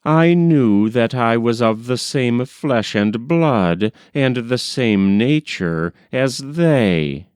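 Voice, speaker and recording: A man reading a literary story aloud as an audiobook narrator, in a measured, expressive storytelling manner. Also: mid-range voice, clear, resonant tone, no echo, clean studio sound.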